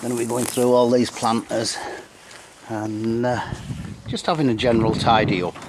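A man's voice: medium pitch, moderate pace. A man chats casually to camera as a vlogger, rambling and repeating himself a little. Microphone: camera at arm's length. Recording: very noisy camera audio.

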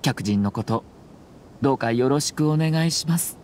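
A man voicing a refined, courteous young official in an anime game, speaking formally and in a measured way. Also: mid-range voice, a little mellow, a little hiss or hum, lightly acted. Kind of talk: Japanese anime game voice acting.

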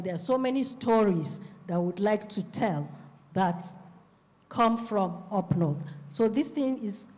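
A middle-aged woman with a Nigerian accent speaking as a panelist, measured and pausing deliberately, into a handheld microphone on a stage. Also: medium pitch, dark tone. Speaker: panelist, publisher and literacy advocate. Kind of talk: panel discussion talk. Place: on stage in a festival hall. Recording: reverberant hall sound.

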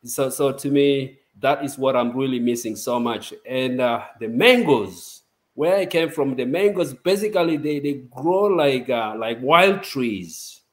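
A man's voice: mid-range voice, measured pace. South Sudan-born man on a video call. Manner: relaxed, chatty, laughs easily. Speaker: interview guest. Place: home office.

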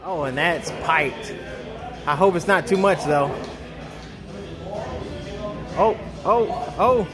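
30s guy cracking jokes about his bad golf shots in a sim bay, pretty high voice, music behind. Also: animated, takes it slowly, long pauses. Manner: joking, self-mocking about his game. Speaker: golf vlog co-host. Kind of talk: casual golf banter. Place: indoor golf simulator bay.

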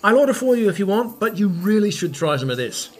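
A man's voice: high voice, very animated, quick pace. An actor in a comedy podcast playing a washed-up middle-aged rock star, boastful and needy, stammering as he rambles matily. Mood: schmoozing. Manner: stammering, rambling, faux-matey.

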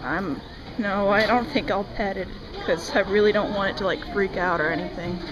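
A woman's voice: medium pitch, normal speed, low-quality recording. A young woman chatting casually and cooing hesitantly to horses, recorded on a handheld camera. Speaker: amateur videographer. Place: fairground horse barn. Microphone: handheld camera held by speaker. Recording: busy crowd noise, handheld camcorder audio. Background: crowd chatter in horse barn.

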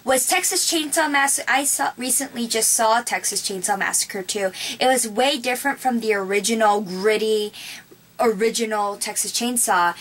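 A woman's voice: fairly high pitch, moderate pace, fairly bright tone. A young woman co-hosting a casual horror movie chat in a small room, chatty and asking questions. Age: young adult. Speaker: horror channel co-host.

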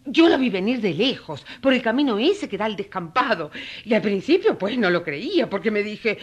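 A woman playing a gossipy, excitable townswoman in a radio drama, chattering and exclaiming in a rambling way. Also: medium pitch, moderate pace, slightly rough, highs cut off. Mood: chatty, rambling.

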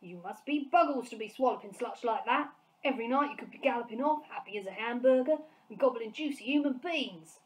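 A woman voicing a brutish, greedy male giant who jeers in gruff, mangled grammar. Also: a little high, expressive, fairly quick, close to an everyday voice, poor audio. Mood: jeering.

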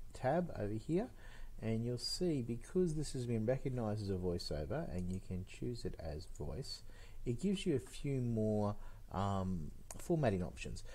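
A middle-aged man giving an informal, conversational software tutorial, explaining step by step into a headset mic. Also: fairly low pitch. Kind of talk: informal screen-recorded tutorial.

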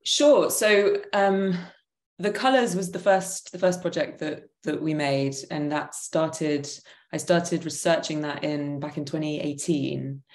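A woman in her thirties with a notably low voice explains her creative work as a panel guest. She is conversational and a little hesitant, full of asides. The sound is echoey, from a room at home on a video call. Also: fairly even intonation, a little slow, darkish tone. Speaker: panel guest, theatre maker. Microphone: computer mic on a video call.

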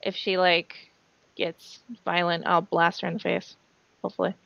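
A woman's voice: fairly low pitch, little pitch movement, moderate pace, gravelly, a bit bright, no room sound. A woman playing a tabletop game over a video call, unsure and hedging, often second-guessing herself. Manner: unsure, hedging, self-mocking. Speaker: player. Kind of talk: casual tabletop play. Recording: dull lo-fi video call audio.

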